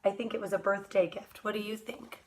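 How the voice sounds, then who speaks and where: A woman doing an animated, expressive children's storytime read-aloud, speaking directly to young listeners with friendly asides. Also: medium pitch, brisk, a little hiss or hum. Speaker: teacher reading picture books aloud to children.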